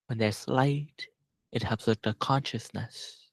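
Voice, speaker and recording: A man leading a guided meditation as a teacher, speaking gently and unhurriedly with long pauses. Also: medium pitch, steady tone, creaky, dry recording.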